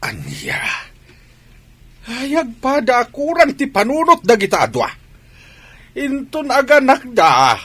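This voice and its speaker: A man playing a blunt, exasperated village father with a raspy voice and an Ilocano accent in a comedy radio drama. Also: extremely high pitch, wildly swooping pitch, slow, long pauses, a bit bright, exaggerated voice.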